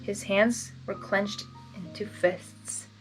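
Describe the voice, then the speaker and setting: A woman who hosts fan fiction readings, moving between expressive story narration and emotional, casual reaction. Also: mid-range voice, takes it slowly, over music, poor audio. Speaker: fan fiction reader and channel host.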